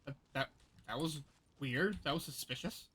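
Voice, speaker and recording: A man's voice: fairly high pitch, moderate pace, pushed and bright, slight background noise. A man streaming a video game with casual, blunt, exasperated commentary, often repeating himself as he rambles.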